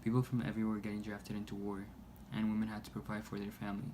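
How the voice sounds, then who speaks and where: A man reading a scripted news report aloud in a halting way, stumbling over words. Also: lowish voice, monotone, darkish tone. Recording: noisy amateur recording.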